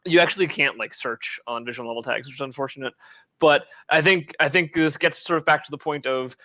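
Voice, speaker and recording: Guy chatting on a podcast, talks fast n rambly, bright voice, slightly muffled audio. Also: fairly high pitch, no room sound. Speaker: podcast co-host. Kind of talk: casual podcast discussion.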